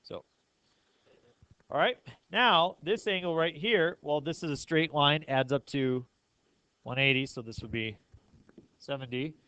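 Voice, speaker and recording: A man's voice: on the high side, measured pace, pauses often, pushed and bright, clean tone, no room sound. A man teaching a math class step by step, often checking understanding with questions. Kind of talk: classroom lesson, explaining step by step.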